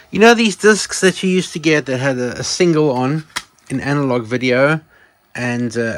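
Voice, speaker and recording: A man's voice: medium pitch, no room sound. Man in a rambling gadget show-and-tell. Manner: rambling, self-correcting, informal. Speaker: presenter of a vintage electronics channel. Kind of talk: casual product show-and-tell.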